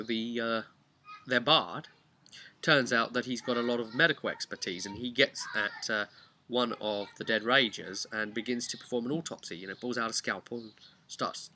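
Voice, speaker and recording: A man casually retelling a tabletop role-play story in a halting, chatty way with wry asides. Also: medium pitch, pushed and bright. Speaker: host of a tabletop role-play campaign recap. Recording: clear background noise with birdsong.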